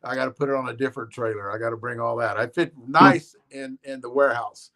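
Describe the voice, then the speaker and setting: A man co-hosting a casual live-stream chat, telling stories in an animated way and acting out what others said. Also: mid-range voice, fast. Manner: animated storyteller who acts out quotes.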